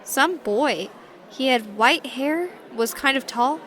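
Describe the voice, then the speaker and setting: A woman playing a friendly, slightly shy new high-school girl from space in a comic fan dub. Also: lively intonation, slow pace, a bit bright, exaggerated voice. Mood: hesitant, polite. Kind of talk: comic fan dub acting and first-person narration. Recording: voice over prominent background music.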